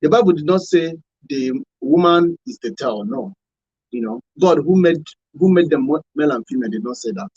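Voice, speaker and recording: A man's voice: a little high, lively intonation, fairly quick, a little mellow, slightly rough, dry recording. A man with a Nigerian accent speaking as a radio panel guest, explaining earnestly and repeating points for emphasis. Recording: dull, band-limited radio sound.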